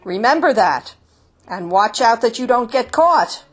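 A woman's voice: fairly high pitch, animated, a little slow, breathy edge. A woman voicing a defiant male rooster in a storybook, urgently rallying and commanding his flock. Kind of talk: storybook character voice.

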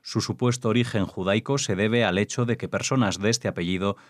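A man's voice: fairly low pitch, a little fast, no echo, studio quality. A man, the program's genealogist, reading prepared entries in a formal, informative manner. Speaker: genealogist collaborator. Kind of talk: scripted reading.

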